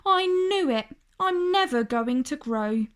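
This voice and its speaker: A woman's voice: sits high, expressive, slow pace, pitched above the performer's own voice. A woman playing a small young male monkey in a children's storybook, eager, stubborn and impatient. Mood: stubborn, frustrated. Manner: stubborn, pleading.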